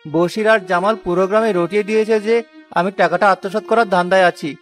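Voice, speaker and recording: A poor, honest porter acted by a man speaks in a high male voice. He is earnest, proud and plaintive, like a wronged humble hero in a cartoon folk tale. Music plays behind him. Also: steady tone, quick pace, natural voice. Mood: despairing. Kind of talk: Bengali cartoon moral tale dialogue.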